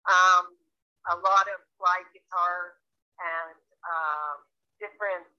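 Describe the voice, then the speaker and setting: A woman in her sixties with a gritty, raspy voice that is rough from much singing. She is a singer-songwriter talking in a casual interview and rambles, with many ums and restarts. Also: lowish voice, fairly even intonation, slow pace, pushed and bright. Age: 60s. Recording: dull, lo-fi phone line.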